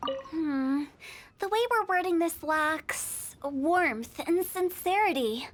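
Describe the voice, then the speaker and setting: A woman's voice: high voice, takes it slowly. A woman playing a cheerful, eccentric young funeral parlor director in an anime game, breezy and theatrical. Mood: pondering.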